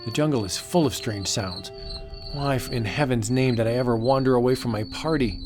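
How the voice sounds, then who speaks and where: A man voicing an excitable, determined explorer anthropologist in a melodramatic comic book audiobook. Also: medium pitch, brisk, soft background music, close to an everyday voice. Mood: uneasy.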